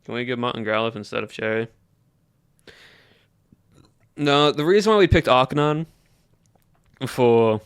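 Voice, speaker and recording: A man's voice: mid-range voice, fairly quick, clear, resonant tone, no room sound, studio quality. Man with a put-on Southern drawl. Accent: put-on American Southern. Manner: loose drawl, musing aloud. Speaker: streamer. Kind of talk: casual livestream chat with viewers.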